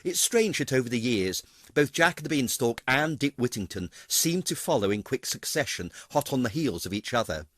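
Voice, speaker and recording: A man's voice: mid-range voice, expressive, moderate pace, a bit bright, dry recording. A middle-aged British man reading a scripted retrospective in a theatrical, wry storytelling manner. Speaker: pantomime dame actor, narrator. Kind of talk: scripted narration of a career retrospective. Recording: music playing behind the voice.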